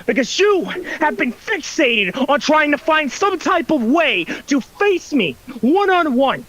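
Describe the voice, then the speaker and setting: Guy with a really high voice, swearing and taunting hard, wrestling promo trash talk. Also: big pitch swings, slow pace, fairly bright tone. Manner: profane, aggressive, taunting. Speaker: online wrestling league competitor.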